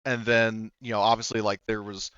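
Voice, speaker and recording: A man narrating a tabletop roleplaying game casually as game master, improvising in a halting, rambling way and rephrasing as he goes. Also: fairly low pitch, level tone, fairly bright tone, smooth, dry recording, muffled recording. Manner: halting, rambling, often rephrasing mid-sentence.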